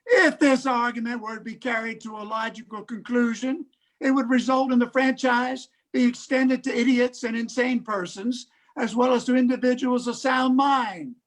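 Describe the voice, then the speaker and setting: A woman's voice: on the high side, fairly even intonation, moderate pace, lightly acted, rough recording. A woman playing a pompous, dismissive courtroom opponent of suffrage, in formal oratory. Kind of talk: formal legal oratory in reader's theater.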